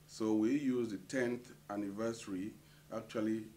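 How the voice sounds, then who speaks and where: A middle-aged man from Cameroon, a community association treasurer, answering interview questions with many hesitant pauses. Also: lowish voice, fairly even intonation, dark tone, slight background noise.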